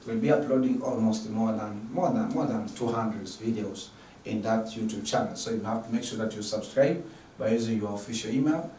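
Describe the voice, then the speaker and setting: A man with a Tanzanian accent, introducing an online math lecture in an earnest, repetitive way with many halting fillers. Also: lowish voice, mellow. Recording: distant mic in an echoey room with faint noise.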